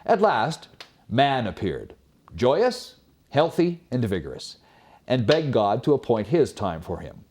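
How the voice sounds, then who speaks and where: A man hosting and narrating folk tales from a script in an expressive, formal manner. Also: medium pitch, takes it slowly. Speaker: storyteller, host.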